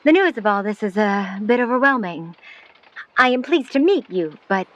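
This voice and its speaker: A woman playing a protective, skeptical middle-aged mother in a 1950s New York audio drama, speaking in formal, measured, wordy sentences. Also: mid-range voice, lively intonation, a bit bright, lightly acted, poor audio. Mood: guarded, probing. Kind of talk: vintage-set audio drama acting.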